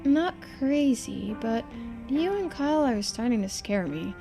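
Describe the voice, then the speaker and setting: A woman's voice: fairly high pitch, lively intonation, measured pace, vocal fry, music in the background. A woman voicing a blunt, exasperated young woman from a mystery game, casual and sarcastic in her delivery. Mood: uneasy.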